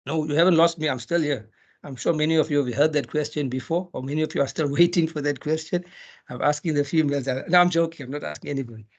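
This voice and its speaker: Middle-aged man from South Africa, a lecturer with a slightly husky voice, casual and jokey, over a video-call mic. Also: on the high side, fast, dull audio. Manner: chatty, jokey teacher, asks rhetorical questions. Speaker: dental researcher and lecturer. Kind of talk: webinar lecture.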